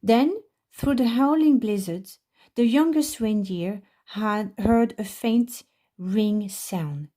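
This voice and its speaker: A woman's voice: mid-range voice, slow, dark tone. A woman reading a children's storybook aloud as narrator at a library storytime.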